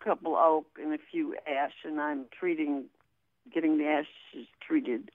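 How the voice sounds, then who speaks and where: A woman's voice: low-pitched, steady tone, measured pace, no echo. A woman calling in by telephone, explaining casually and hesitantly, often correcting herself, over a tinny, narrow line. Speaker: phone-in caller.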